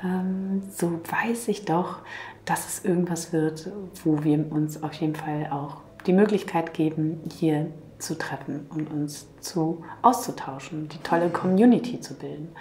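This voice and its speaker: Soft-spoken hoarse woman, mellow. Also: low-pitched, a little slow, slight background noise. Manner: soft, often half-whispered, gentle. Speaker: painting channel host. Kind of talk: calm chatty vlog talk.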